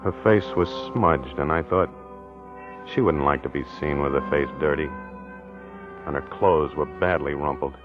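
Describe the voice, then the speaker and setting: A low-pitched American man as a mild, befuddled husband in a vintage radio mystery. He speaks in a hesitant, deliberate, reflective way. The old recording is muffled, with music behind. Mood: reflective, subdued. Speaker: narrator and lead character. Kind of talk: 1940s radio acting, first-person narration.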